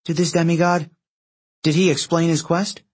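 A man's voice: on the high side, flat delivery, moderate pace, husky, voice pushed up. A man voicing an earnest, anxious teenage girl hero in an audiobook, questioning and pleading with intensity. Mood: uneasy, questioning. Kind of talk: audiobook character dialogue.

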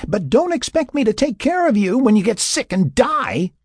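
An exasperated woman settler in an audiobook, acted out by a man. The voice is raspy and raised, with very wide swings in pitch. She is stubborn, defiant and scolding. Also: wildly swooping pitch, moderate pace, darkish tone, no room sound, clean studio sound. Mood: angry, pained. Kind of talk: audiobook character dialogue.

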